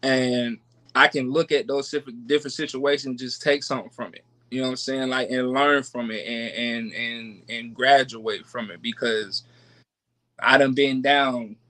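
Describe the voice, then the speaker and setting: An American man in his late twenties talking casually as a podcast guest, animated and repeating phrases for emphasis. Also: mid-range voice, moderate pace. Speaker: podcast guest, creator of a miniseries. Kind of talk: casual conversation.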